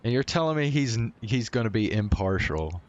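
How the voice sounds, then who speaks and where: A man's voice: fairly low pitch, expressive, moderate pace, darkish tone, muffled recording. A man giving casual, slangy gameplay reactions as a streamer, with a wry manner. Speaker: game streamer.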